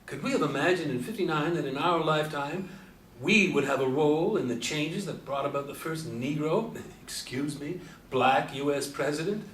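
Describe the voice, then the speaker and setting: A man's voice: on the high side, moderate pace, a bit bright, lightly acted. A man acting in a stage play as an aging, folksy Beatnik who speaks emphatically and in slang. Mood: proud. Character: aging male Beatnik, folksy, fired-up old cat. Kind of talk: stage play scene reading. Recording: echoey room from far off, faint noise.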